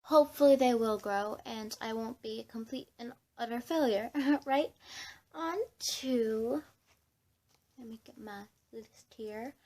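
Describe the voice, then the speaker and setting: A woman's voice: a little high, takes it slowly, a little mellow. A young woman chatting casually to camera as a vlogger, in a scattered, giggly way, often interrupting herself. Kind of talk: casual rambling chat to camera.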